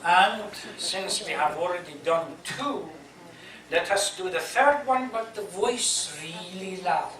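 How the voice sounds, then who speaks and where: A man, a native Persian speaker, delivering an impassioned, emphatic religious lecture as an imam, halting at times and posing rhetorical questions. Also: high-pitched, crowd noise. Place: echoey hall, speaking to a congregation. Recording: roomy distant mic, faint noise.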